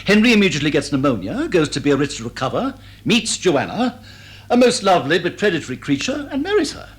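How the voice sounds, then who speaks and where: Vain middle-aged english theatre star, highish voice, self-dramatising, indignant, drawing-room radio play. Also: male voice, very animated, quick pace. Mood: grandly aggrieved. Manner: grandly declamatory, asks and answers own questions.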